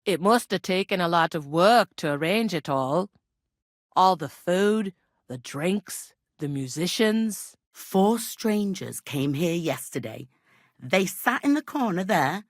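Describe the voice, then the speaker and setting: An actress playing a brusque, gossipy medieval innkeeper in a video game, speaking in earthy, colloquial rustic phrases with folksy idioms. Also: female voice, lowish voice, no room sound, clean studio sound. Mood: matter-of-fact, brisk. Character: brusque, gossipy medieval village innkeeper woman, plain-spoken, superstitious. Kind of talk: video game character dialogue.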